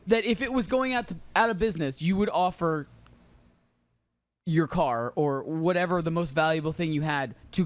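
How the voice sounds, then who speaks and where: A man's voice: high voice, no echo. Chatty male podcast host, dry sound. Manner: chatty, repeats himself when stalling. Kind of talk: casual chat.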